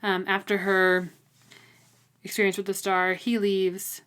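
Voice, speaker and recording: A woman's voice: mid-range voice, little pitch movement, measured pace, fairly bright tone, clear, resonant tone. A woman co-hosting a podcast, talking through a book in a casual, informal, conversational way. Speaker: podcast co-host.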